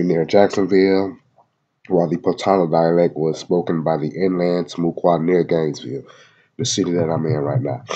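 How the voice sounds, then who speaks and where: Male host, congested, husky, mellow tone; casual read-aloud with slang asides. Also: low voice, dull audio. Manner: loose slangy asides between read passages, many fillers. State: congested from a head cold. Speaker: video host reading a website aloud. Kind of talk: casual screen-recorded reading and commentary. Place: at home in Florida.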